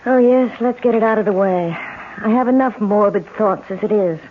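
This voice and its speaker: A woman's voice: medium pitch, moderate pace, lightly acted. A woman acting a haunted, anxious wife in a 1970s radio drama, speaking in a fretful, dramatic way. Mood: weary, uneasy. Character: haunted, anxious wife seeing a headless ghost. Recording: dull, faintly noisy old radio broadcast.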